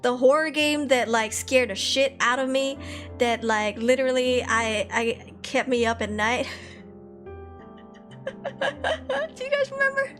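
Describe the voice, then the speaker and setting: A young woman streamer from Malaysia chats casually with her viewers, her voice sitting a little high. She laughs a lot and scatters fillers through her talk. Soft music plays behind her. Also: big pitch swings, slow, long pauses. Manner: chatty, laughs often, frequent fillers. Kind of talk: casual chat reading viewer comments.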